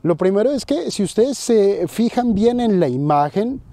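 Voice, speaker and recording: A man's voice: high voice, sing-song, moderate pace, dark tone, no room sound. A man in his thirties from Colombia with a Colombian accent, earnestly explaining his views straight to the camera while outdoors by a lake. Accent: Colombian Spanish. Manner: earnest, explanatory, addresses viewers directly. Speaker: finance vlogger. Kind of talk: direct-to-camera commentary. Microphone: handheld camera close to face.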